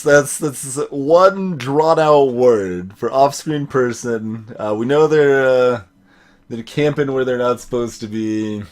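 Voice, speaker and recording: A man streaming a video game, casually commentating and reading dialogue aloud in a rambling, dryly joking way. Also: mid-range voice. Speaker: gaming streamer. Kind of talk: let's play commentary with dialogue read aloud. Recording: clear background noise.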